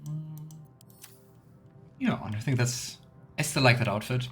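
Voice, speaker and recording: A man's voice: medium pitch, a little slow, fairly bright tone. A man hosting a let's play, chatting casually and musing aloud as he goes. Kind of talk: casual game commentary. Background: game music.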